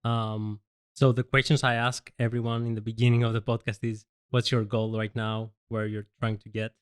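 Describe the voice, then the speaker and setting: A man's voice: fairly low pitch, flat delivery, moderate pace, clean tone. A man hosting a podcast in casual chat, easygoing and laughing at his own mistakes. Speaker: podcast host.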